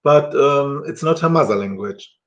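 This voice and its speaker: A middle-aged German man talking casually to camera in halting, self-correcting English with a German accent. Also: medium pitch, expressive, lo-fi sound.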